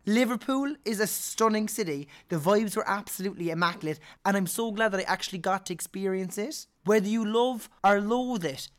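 Hoarse, chatty Cork man. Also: sits high. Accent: Irish, Cork. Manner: chatty, animated, quick to laugh. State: hoarse from singing. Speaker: podcast host. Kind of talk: casual chat.

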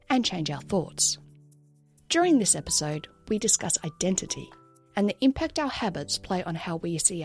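A woman reads the show's scripted introduction with a lively, swinging pitch and an upbeat promotional delivery. Faint music plays underneath her. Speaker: podcast announcer. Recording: voice-over with music bed.

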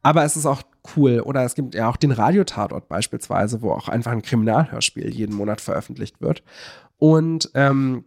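A young man explains things casually on a podcast, haltingly searching for words and correcting himself. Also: medium pitch, a little mellow, no echo, clean studio sound. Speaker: podcast co-host.

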